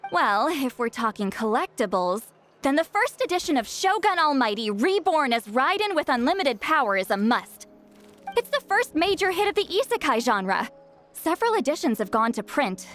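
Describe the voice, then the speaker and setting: A woman's voice: sits high, big pitch swings, moderate pace, fairly bright tone, faint music behind. A woman playing a proud, prickly, reclusive shaman in a video game, youthful-sounding, brusque and easily flustered. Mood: nerdy enthusiasm.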